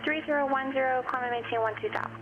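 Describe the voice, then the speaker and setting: A woman's voice: high-pitched, phone-quality sound. Woman controller over a noisy radio. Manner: brisk, even, procedural. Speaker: air traffic controller. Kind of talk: clipped controller radio phraseology.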